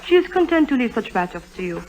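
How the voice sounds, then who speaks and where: Coy princess. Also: female voice, fairly high pitch, very animated, fast, a little mellow, poor audio. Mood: gracious, coy. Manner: formal, coy, careful phrasing. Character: coy, gracious young foreign princess travelling incognito. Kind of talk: 1950s radio drama acting.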